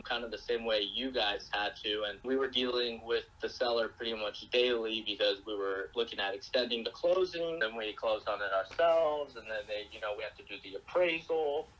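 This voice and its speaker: A man speaking politely and in a measured way, negotiating diplomatically over the phone. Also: mid-range voice, a bit bright, low-quality recording. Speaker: business partner on a phone call.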